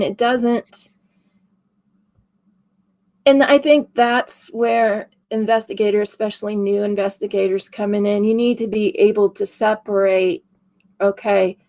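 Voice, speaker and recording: A woman with a raspy voice co-hosting a casual paranormal investigation chat, laughing easily as she talks. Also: mid-range voice, a little mellow.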